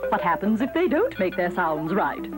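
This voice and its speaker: A woman's voice: fairly low pitch, sing-song, moderate pace, over music. A woman voicing an eager, wide-eyed, girlish puppet letter character in children's educational television, exclamatory and pleading. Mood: curious.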